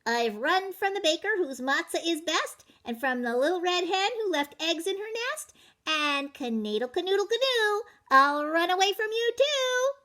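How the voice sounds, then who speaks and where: A woman's voice: very high-pitched, expressive, normal speed, sharp tone, voice pushed up, heavily acted. A woman voicing a cocky, clever little matzah man in a children's story, chanting boastful rhymes in a teasing sing-song.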